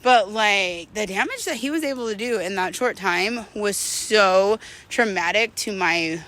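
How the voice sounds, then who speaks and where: A woman's voice: mid-range voice, a little slow, fairly bright tone. A woman in her thirties talking in a candid, rambling, slangy way, as in a casual confessional walking vlog. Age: 30s. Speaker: vlogger.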